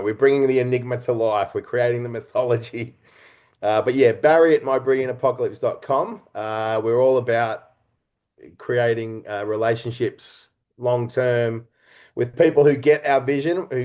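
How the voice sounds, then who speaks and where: A man's voice: mid-range voice, darkish tone. Earnest man in a thoughtful interview. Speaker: interview guest, writer-director and actor. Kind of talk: conversational interview storytelling.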